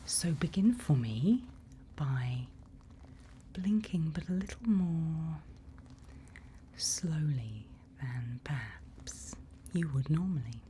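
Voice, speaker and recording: A woman speaks in a soft, breathy ASMR whisper with a dark, mellow tone. She guides the listener slowly and reassuringly as a calm forest night-watch leader. A faint ambience sits behind her close-miked voice. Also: low voice. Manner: unhurried, instructive, reassuring. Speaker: ASMR roleplay performer. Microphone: close microphone. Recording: faint background ambient noise.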